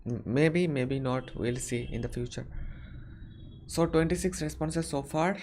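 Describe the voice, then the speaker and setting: A man's voice: medium pitch, measured pace, darkish tone, a little hiss or hum. A young man with an Indian accent, hosting a casual live question-and-answer stream and rambling with many fillers and restarts. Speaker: livestream host answering viewer questions.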